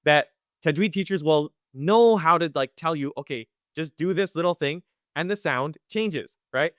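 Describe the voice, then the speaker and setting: Bright, clear-voiced man teaching recitation. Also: fairly high pitch, no echo, studio quality. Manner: conversational, checks in with "right?" often; demonstrates recitation sounds slowly. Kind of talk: live webinar lesson.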